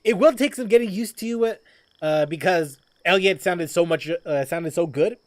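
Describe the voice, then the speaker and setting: A man's voice: sits high, moderate pace, no echo. A man casually chatting while streaming a game, rambling with lots of fillers and restarts as he reads chat aloud.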